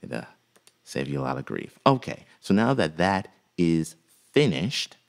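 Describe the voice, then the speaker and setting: A man with a deep voice teaching music production in a relaxed, folksy way, with many "uh" fillers. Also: a little slow, creaky. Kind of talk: casual software tutorial.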